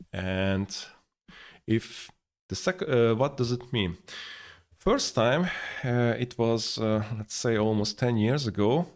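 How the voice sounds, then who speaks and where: A man teaches from a map in a lowish voice. He speaks in halting bursts, filling pauses with "uh" and correcting himself as he goes. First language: Hungarian. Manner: halting, many fillers and self-corrections. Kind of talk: explaining over a map.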